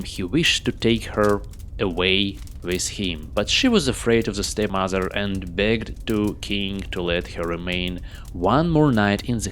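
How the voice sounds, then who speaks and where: Male fairy tale reader: fairly deep, expressive, theatrical and measured, slightly noisy home recording. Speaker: storyteller, reader.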